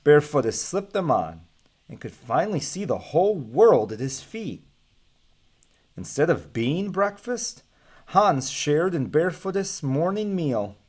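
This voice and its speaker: Slow, animated young man reading aloud. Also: fairly high pitch. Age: young adult. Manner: animated, deliberate, asks listeners questions. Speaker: read-aloud storyteller. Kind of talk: expressive children's storybook reading. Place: small room with bookshelves.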